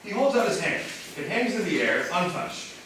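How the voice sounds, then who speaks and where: Male stage-directions reader, fairly high voice, scripted narration, recorded at a distance. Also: big pitch swings, normal speed, fairly bright tone. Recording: very echoey, distant mic, noisy background.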